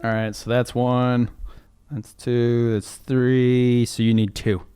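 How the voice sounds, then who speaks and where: A man's voice: mid-range voice, level tone, slow pace, warm tone, smooth. A man casually role-playing as a player in a tabletop game, with a jokey way of talking. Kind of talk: casual role-play chat.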